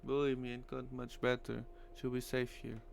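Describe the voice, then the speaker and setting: A man playing a busy female gang boss, gravelly, matter-of-fact, visual novel, faint background noise. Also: mid-range voice, completely flat delivery, moderate pace, a little mellow. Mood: brisk.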